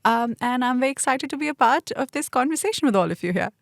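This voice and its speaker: A woman's voice: high voice, animated, fast, gravelly, dry recording, studio quality. A young woman who grew up in Australia, a student guest on a podcast, answering earnestly and articulately. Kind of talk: interview answer.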